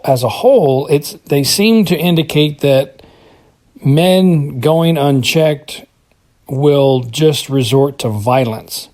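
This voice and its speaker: Male listener call-in, middle register, informal and tentative, halting delivery. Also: medium pitch, darkish tone. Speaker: podcast listener calling in feedback. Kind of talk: informal spoken commentary.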